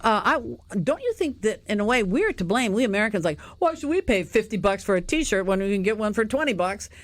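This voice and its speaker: A middle-aged woman hosting a radio talk show, chatty and animated, telling stories with frequent laughs. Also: mid-range voice, brisk, no echo. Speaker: radio host.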